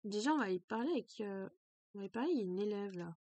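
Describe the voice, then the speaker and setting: A young woman streaming a game, chatting casually and excitably with a stuffy, congested nose, at a desk mic in a small room. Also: medium pitch, quick pace, no echo. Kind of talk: let's play commentary.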